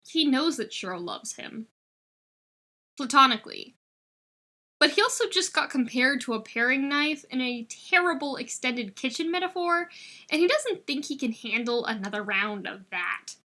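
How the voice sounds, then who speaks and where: A woman narrates scripted fiction expressively. Her voice sits fairly high and has a very bright, forward edge. She reads as a storyteller would, shaping each line of the narration. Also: lively intonation, normal speed. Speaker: fan fiction reader.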